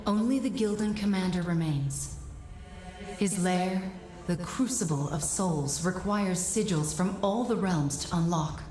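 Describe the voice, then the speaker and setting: Woman voicing a composed construct guide in a game, lowish solemn voice, echoey, music behind. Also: unhurried, darkish tone, close to an everyday voice. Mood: instructive, determined. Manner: composed, solemn, formal diction. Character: calm, selfless female construct guiding a warrior. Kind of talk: dramatic video game cutscene acting. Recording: roomy echo, music under the voice.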